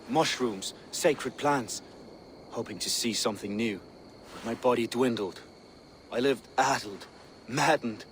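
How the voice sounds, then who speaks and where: An actor playing an intense, devout Viking seer, solemn, poetic and deliberate, in a video game cutscene. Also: male voice, fairly low pitch, a little hiss or hum, lightly acted, rough recording.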